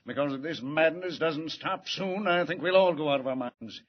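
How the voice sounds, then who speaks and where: An actor playing an anxious, gruff middle-aged male police commissioner in 1940s radio acting. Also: fairly high pitch, normal speed, natural voice. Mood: worried. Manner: gruff, worried, urgent. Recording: muffled vintage radio broadcast.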